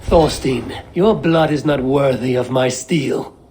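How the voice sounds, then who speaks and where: An actor playing a stern, confident, dry-witted Norse warrior in a video game. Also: male voice, medium pitch, takes it slowly, a little mellow, slight background noise, close to an everyday voice. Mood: threatening. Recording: music under dialogue.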